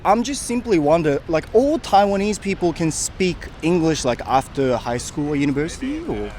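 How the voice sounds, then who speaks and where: A man's voice: a little high, normal speed, slight background noise. A man, interviewing passers-by on a sunny beachfront with a handheld mic. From Japan. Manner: lively, animated questioning. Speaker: street interviewer. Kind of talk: casual street interview. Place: sunny beachfront promenade outdoors.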